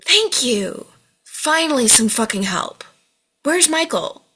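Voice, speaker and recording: A woman's voice: high-pitched, very animated, takes it slowly, pushed and bright, slight background noise, full character voice. A woman playing a volatile, overwhelmed mother in her late forties, snapping and swearing in an amateur drama table read. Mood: bossy, irritated.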